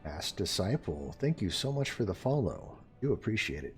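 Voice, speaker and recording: Low-voiced chatty male streamer. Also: very animated, normal speed, soft background music. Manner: chatty, joking, talks to chat while playing. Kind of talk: casual live gameplay commentary.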